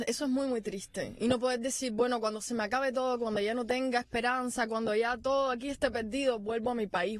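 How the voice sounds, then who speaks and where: A woman guest from Cuba with a fairly high voice, talking slowly and reflectively. She pauses and hesitates as she searches for her words. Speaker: guest artist. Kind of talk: radio interview.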